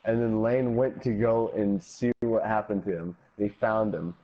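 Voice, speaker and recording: A man's voice: fairly low pitch, steady tone, unhurried. A young man casually telling an anecdote as a guest storyteller, on dull lo-fi audio.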